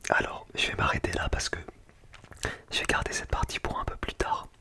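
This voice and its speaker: An ASMR creator, speaking in a breathy, unvoiced whisper in an informal, slangy, chatty way while reviewing food. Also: brisk, sharp tone. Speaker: ASMR video creator and host.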